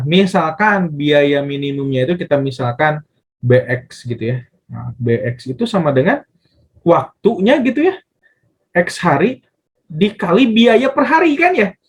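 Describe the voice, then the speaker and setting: A man explaining math step by step as a teacher, often asking questions and answering them himself and repeating phrases. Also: a little high. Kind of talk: casual step-by-step tutorial explanation.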